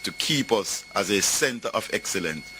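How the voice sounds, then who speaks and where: A male minister makes a formal public speech. The voice is somewhat low with a sharp, forceful edge, and faint hum sits in the recording. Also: lively intonation, normal speed. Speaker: government minister.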